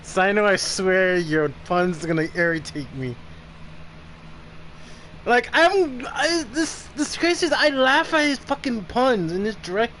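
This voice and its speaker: A man streaming a video game, commenting casually in a profane, excitable way as he plays. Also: sits high, unhurried, slight background noise, rough recording. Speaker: gaming streamer.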